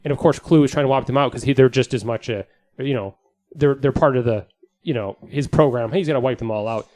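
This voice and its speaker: A man co-hosting a podcast in casual chat, enthusiastic and sweary, with halting phrasing. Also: mid-range voice, darkish tone. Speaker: podcast host.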